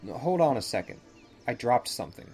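A man's voice: mid-range voice, sing-song, brisk, darkish tone, soft background music. A young man playing a polite, persistent, watchful classmate in an amateur radio drama, speaking somewhat formally. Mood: helpful, persistent.

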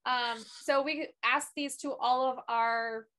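A woman's voice: high-pitched, fairly even intonation, slow. A woman co-hosting a book podcast, asking questions in a casual, giggly way with lots of fillers. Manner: warm, giggly, hesitant fillers. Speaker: podcast co-host.

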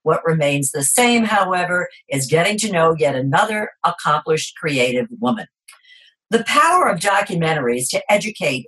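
Woman, interview host, remote call audio, husky, lowish pitch, measured. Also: a bit bright, low-quality recording. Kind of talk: remote interview, scripted intro.

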